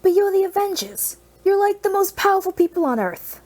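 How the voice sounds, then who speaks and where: A woman voicing an eager, awed and nervous teenage boy in an audiobook, with halting, earnest delivery. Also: very high-pitched, big pitch swings, a little mellow, voice pushed up.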